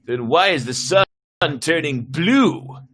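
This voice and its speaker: A man's voice: on the high side, sing-song, slow pace, fairly bright tone, heavily acted. A man performing bold, stubborn starship crew in a sci-fi dramatic reading, emphatic and melodramatic. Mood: tense, awed.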